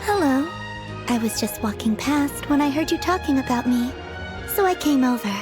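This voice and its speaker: An actress voicing a gentle, dreamy, soft-spoken young woman. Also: high voice, expressive, normal speed, music in the background.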